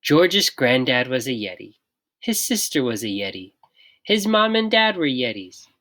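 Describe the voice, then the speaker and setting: A reader giving an expressive, unhurried children's picture book read-aloud with dramatic pauses. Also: mid-range voice. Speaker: storybook reader.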